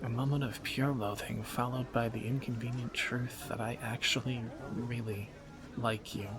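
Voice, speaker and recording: A man's voice: mid-range voice, steady tone, normal speed, over music, lightly acted, rough recording. A man playing a wry, flirtatious thief on the run in a romantic audio drama, speaking in teasing, sardonic banter to a companion. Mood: fond, playful.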